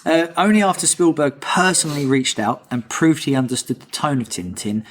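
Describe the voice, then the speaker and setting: A man's voice: fairly high pitch, lively intonation. Joking male podcast host. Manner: jokey, teasing, brisk. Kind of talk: casual chat, reading trivia.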